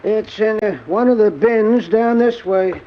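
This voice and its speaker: An actor playing a reticent, businesslike baggage clerk in a 1940s radio drama, speaking in a halting, curt way. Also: male voice, high voice. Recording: muffled old radio recording with background noise.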